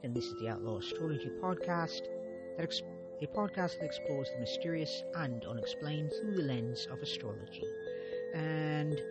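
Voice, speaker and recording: A man hosting his own podcast, narrating a mystery in a conversational way with frequent restarts and hesitations. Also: a little high. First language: not Russian. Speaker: podcast host.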